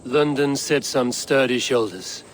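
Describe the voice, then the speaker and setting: A man's voice: medium pitch, unhurried, slight background noise, natural voice, poor audio. Man acting a stern, proud Viking raider. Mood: measured, confident. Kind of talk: video game cutscene acting.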